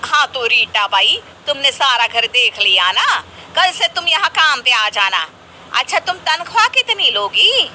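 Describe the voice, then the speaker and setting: An ambitious young wife in a children's cartoon , played by a woman. She nags and stresses her words in a high, very sharp voice. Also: big pitch swings, unhurried, a little hiss or hum, heavily acted, poor audio. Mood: brisk, eager. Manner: nagging, emphatic.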